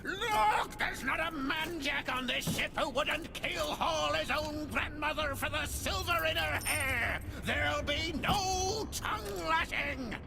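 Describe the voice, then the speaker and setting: An actor playing a bloodthirsty, blustering pirate captain, bellowing in a gravelly voice for a video game. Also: male voice, very high-pitched, slow, hardly any pauses, music in the background, exaggerated voice. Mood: furious, threatening. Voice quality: gravelly, shouting. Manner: bellowing, theatrical. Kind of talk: video game cutscene acting.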